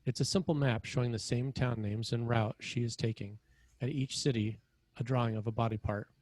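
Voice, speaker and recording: A man's voice: mid-range voice, fairly even intonation, brisk, a little mellow, dry recording, poor audio. A man narrating screenplay scene headings and action in a scripted read.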